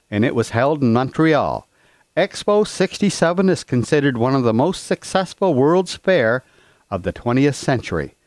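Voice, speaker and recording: A man hosting a country and gospel radio program, reading his introductions in a steady, scripted way. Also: fairly high pitch, no room sound. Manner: steady, read-aloud delivery. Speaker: radio host.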